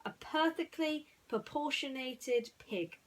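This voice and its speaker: A woman's voice: high-pitched, slow, voice pushed up. Storybook toy cowboy played by a woman: male, friendly, concerned for his pal, lifted and emphatic delivery. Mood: amused, tactful.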